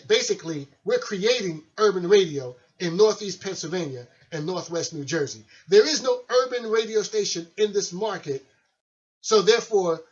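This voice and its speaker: Chatty radio DJ man, lo-fi sound. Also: sits high. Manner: chatty, friendly, loose. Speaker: radio host and DJ. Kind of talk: casual radio show talk. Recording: dull lo-fi recording.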